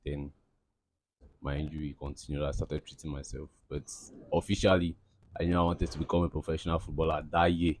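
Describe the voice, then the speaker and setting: A man's voice: a bass voice, little pitch movement, a little fast, a little hiss or hum. A young man from Cameroon, answering interview questions in a rambling, casual way with lots of fillers and restarts. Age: young adult. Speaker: interviewee, aspiring footballer.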